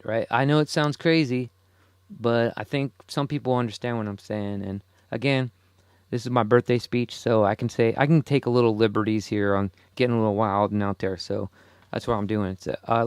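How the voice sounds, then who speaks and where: A man's voice: mid-range voice, a little fast, clean tone, dry recording, studio quality. A man in his forties hosting a solo podcast, rambling casually and chuckling to himself between thoughts. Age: 40s. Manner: rambling, self-amused, chuckles often. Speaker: podcast host.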